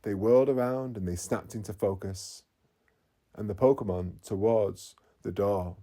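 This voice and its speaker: A man narrating a children's bedtime story in an expressive storytelling manner. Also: lowish voice, measured pace. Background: faint music.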